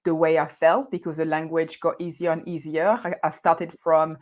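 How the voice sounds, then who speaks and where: Woman, French accent, native French, lower pitch, animated guest, frequent laughter. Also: normal speed. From France. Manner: animated, laughs often, hesitant restarts. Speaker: guest coach. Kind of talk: conversational interview.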